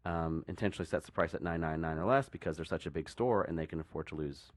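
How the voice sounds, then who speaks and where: A man co-hosting a podcast, talking casually and explaining things in a rambling, halting way. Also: rumbling low, no room sound, studio quality. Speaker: podcast co-host. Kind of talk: casual discussion.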